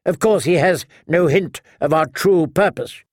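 A man voicing a sharp-tongued, imperious elderly noblewoman in an audiobook, brisk and commanding. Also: on the high side, dry recording, pitched above the performer's own voice. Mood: shrewd, certain. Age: older.

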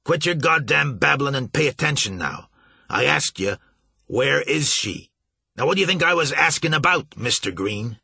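A blustering riverboat captain barks his demands in a raised, husky voice that leaps up and down, as a man reads him aloud. He swears freely and is forever pushing for answers. The reading is bright and dry. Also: medium pitch, animated, brisk, dry recording, exaggerated voice. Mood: angry bellowing. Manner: loud, cursing, demanding.

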